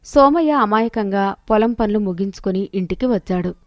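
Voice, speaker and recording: A woman's voice: mid-range voice, lively intonation, normal speed, no room sound, clean studio sound. A woman narrating a scripted moral story as a storyteller.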